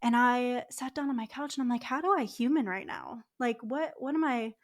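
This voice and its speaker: Brief Twenties woman co-host, slightly high voice, chatty and candid in casual talk. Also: normal speed. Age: 20s. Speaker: podcast co-host. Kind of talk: casual chat.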